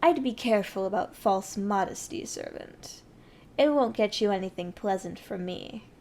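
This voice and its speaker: A woman's voice: mid-range voice, normal speed, a little mellow, slight background noise, close to an everyday voice. A woman playing a haughty, ruthless evil sorceress queen in an ASMR roleplay, speaking in an imperious, menacing, condescending way. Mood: threatening. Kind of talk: scripted ASMR roleplay addressed to the listener.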